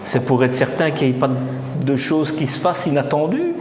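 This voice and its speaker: A man's voice: on the high side, expressive, normal speed, darkish tone, roomy sound, slight background noise. A man, a vlogger asking curious, joking questions while touring a new office building. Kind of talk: casual on-camera interview. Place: new office building, indoors, walking tour.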